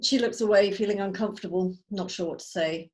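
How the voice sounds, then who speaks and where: Casting: woman narrator, medium pitch, scripted stage directions, table read on a video call. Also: a little fast, a little mellow, a little hiss or hum.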